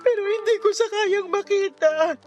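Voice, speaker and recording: A despairing, sleepless young mother in a Filipino radio drama. Her Filipino-accented woman's voice sits high and swings between sullen withdrawal and raw pleading. Faint music runs behind her. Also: moderate pace, darkish tone, dull audio. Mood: tearful, anguished. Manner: curt and sullen, breaking into tearful pleading.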